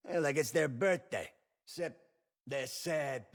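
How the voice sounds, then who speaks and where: A man's voice: fairly high pitch, measured pace. An actor playing a cocky American mob henchman in a video game, trading streetwise, teasing banter. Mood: amused, teasing. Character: joking, cocky male mob henchman on guard.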